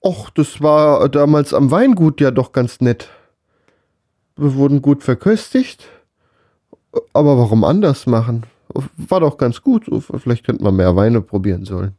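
A man's voice: medium pitch, lively intonation, a little slow, warm tone, no room sound, studio quality. A man chatting casually as a podcast co-host, with laid-back, wry joking. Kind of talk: casual chat, bantering Q&A.

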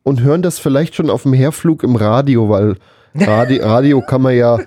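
A man's voice: medium pitch, normal speed, dark tone. A man co-hosting a casual, humorous podcast, musing in a hesitant, rambling, mock-serious way. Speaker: podcast co-host.

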